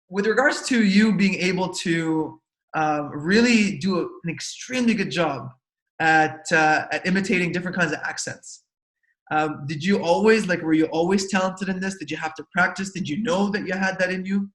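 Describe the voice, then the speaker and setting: A man's voice: high voice, lively intonation, moderate pace, a bit bright, echoey room. A man hosting an interview over a video call, asking questions hesitantly with many fillers. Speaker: interview host. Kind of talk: casual interview chat.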